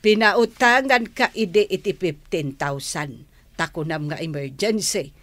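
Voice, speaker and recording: A woman's voice: on the low side, unhurried, a bit bright, no echo. An actress with an Ilocano Filipino accent playing an impatient female moneylender, nagging and confrontational, in a radio drama. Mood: angry scolding.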